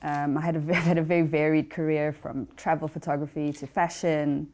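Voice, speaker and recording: A woman from South Africa, a photographer speaking as a panel guest, soft-spoken and hesitant. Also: sits low, level tone, brisk. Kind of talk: casual conversational talk.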